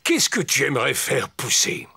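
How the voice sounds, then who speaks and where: A man voicing a kindly, patient elderly grandpa pig in a children's cartoon, with a rough, gravelly voice. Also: mid-range voice, big pitch swings, moderate pace, exaggerated voice. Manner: gentle, patient. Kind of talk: children's cartoon acting in French dub.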